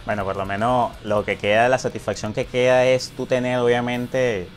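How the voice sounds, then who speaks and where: A man's voice: mid-range voice, level tone, normal speed, soft background music. A man hosting a casual interview, talking at length and leaning on filler words. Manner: rambling, leans on filler words. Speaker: interviewer, host.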